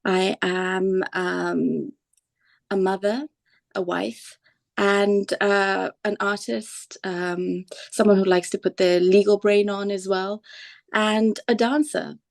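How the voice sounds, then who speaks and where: A woman's voice: mid-range voice, slow, creaky. A woman from Tanzania, a guest on a podcast, speaking thoughtfully and easily. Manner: thoughtful, relaxed, with fillers. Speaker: guest, entertainment lawyer. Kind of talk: conversational interview.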